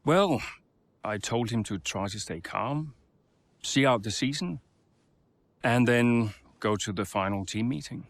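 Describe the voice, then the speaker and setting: A man's voice: medium pitch, unhurried, long pauses, lightly acted. A man playing a modest, good-humoured older racing mentor with a self-effacing way of talking. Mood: reflective.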